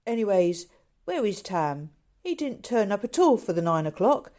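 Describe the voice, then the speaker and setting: Storybook zookeeper, played by a woman: gruff young man, blunt, scolding but good-hearted. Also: mid-range voice, very animated, normal speed, darkish tone, pitched above the performer's own voice, lightly acted. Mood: gruff grumbling. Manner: blunt, colloquial, admonishing. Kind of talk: character dialogue in a novel reading.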